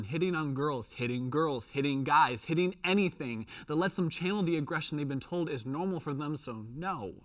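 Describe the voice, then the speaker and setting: A man performing slam poetry in an emphatic, rhythmic delivery with deliberate pauses and repetition. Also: a little high, dry recording, studio quality, recorded from a distance. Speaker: slam poet.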